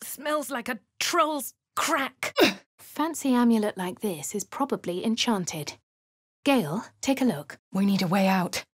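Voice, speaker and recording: An actress voicing a posh English woman adventurer in a video game, speaking crisply with a dry, self-assured manner. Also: fairly high pitch, lively intonation, measured pace, no echo. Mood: wry. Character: posh young woman adventurer, cool and wry. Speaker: player character. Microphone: close studio mic.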